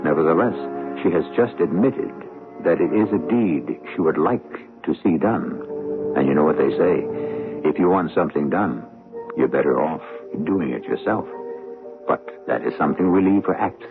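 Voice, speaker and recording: An actor playing a sardonic, ominous storyteller who hosts a radio mystery, theatrical, measured and wry. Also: male voice, deep voice, expressive. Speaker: host and narrator of a mystery radio show. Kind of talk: scripted radio mystery narration. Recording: tinny vintage radio broadcast with music.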